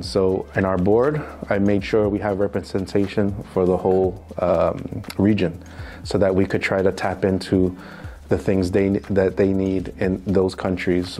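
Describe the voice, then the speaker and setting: A man's voice: low voice, little pitch movement, normal speed, dark tone. A man from New York City with a New York accent, answering interview questions in a casual, hesitant way full of fillers and restarts. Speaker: interview guest, financial crime specialist.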